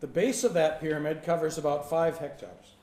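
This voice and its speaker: A man in his sixties, a pastor teaching a Bible lesson in short phrases and pausing for an interpreter, with a friendly, plain-spoken manner. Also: fairly high pitch, darkish tone. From United States. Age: 60s. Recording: roomy hall with faint ambience.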